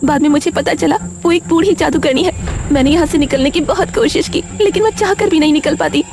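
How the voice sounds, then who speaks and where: A woman's voice: high-pitched, fast talker, voice pushed up. A woman voicing a frightened, pleading young village girl, speaking anxiously and earnestly in a cartoon story. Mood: sorrowful.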